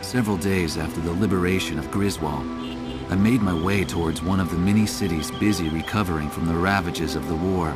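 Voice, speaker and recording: Male actor as war correspondent, low voice, measured first-person narration, reflective and world-weary, video game cutscene, prominent music underneath. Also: steady tone, lightly acted. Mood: reflective, grave. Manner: measured, literary, reflective storytelling. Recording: music behind the voice.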